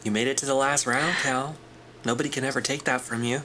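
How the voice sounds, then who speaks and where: A woman's voice: very deep voice, fairly even intonation, a little fast, background noise. A woman playing a supportive, teasing female friend in an audio drama, casual and upbeat. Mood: encouraging. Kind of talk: cinematic audio drama acting.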